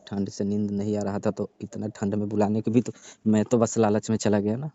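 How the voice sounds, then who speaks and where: A man's voice: fairly low pitch, completely flat delivery, fairly quick, warm tone, dry recording, lightly acted. A man playing a sulky, distrustful young repairman who grumbles and complains in a staged comic phone call. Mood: aggrieved. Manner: complaining, grudging. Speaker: heater repairman. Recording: dull, dry lo-fi phone recording.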